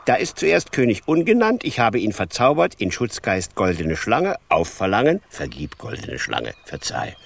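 An actor playing a sly, theatrical, mock-courtly Devil in a children's radio drama. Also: male voice, lowish voice, wildly swooping pitch, moderate pace, vocal fry, few pauses, slight background noise, heavily acted. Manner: theatrical, mock-polite, wheedling.

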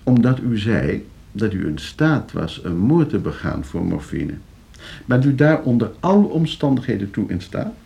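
Suave scheming nephew, swooping husky voice. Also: male voice, fairly low pitch, very animated, moderate pace, warm tone, exaggerated voice. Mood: probing, insinuating. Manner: suave, condescending, calculating. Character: scheming, debt-ridden nephew coolly arranging his uncle's murder. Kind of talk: Dutch radio play acting.

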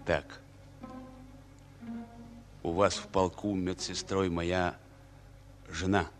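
An actor playing a stoic, terse Soviet infantry captain in a radio play, with a restrained delivery. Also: male voice, deep voice, flat delivery, very slow, soft background music. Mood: restrained tenderness. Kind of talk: Russian radio play acting.

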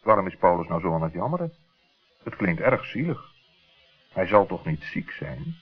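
A man's voice: low voice, very animated, moderate pace, breathy edge, exaggerated voice. A man playing a concerned storyteller who addresses young listeners in a children's radio play. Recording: dull vintage radio recording, music behind.

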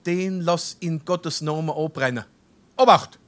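A middle-aged head of a household with a rather high voice and broad Darmstadt dialect. He is indignant and full of bluster, exclaiming his way through a stage comedy. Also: unhurried, fairly bright tone. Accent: Hessian, Darmstadt dialect.

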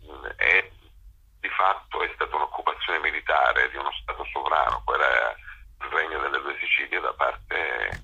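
Very deep, gravelly male interview guest. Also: normal speed, sharp tone, muffled recording. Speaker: interview guest, actor and director. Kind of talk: conversational interview.

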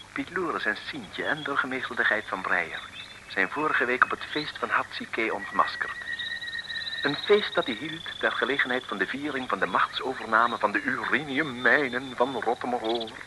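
A man's voice: mid-range voice, expressive, moderate pace. A man narrating an old radio comedy serial from a script in a wry, ironic way. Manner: droll, ironic. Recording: noisy old radio recording.

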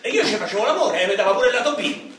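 A man's voice: sits high, racing. An actor playing a middle-aged Roman ex-robber, a boastful and lonely braggart telling his story in theatrical, streetwise radio-drama monologue. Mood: wry bragging. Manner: animated streetwise storytelling, swinging from bragging to self-pity. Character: boastful, lonely ex-robber nearing fifty, coke-loving braggart. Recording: echoey stage hall, distant mic, faint noise.

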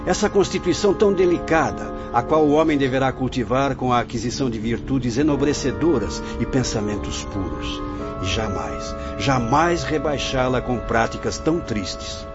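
An actor playing a wise, solemn spirit mentor in a radio drama, instructing in formal, preachy phrases over music. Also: male voice, mid-range voice, expressive, normal speed, natural voice. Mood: grave, instructing. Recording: dull lo-fi audio under music.

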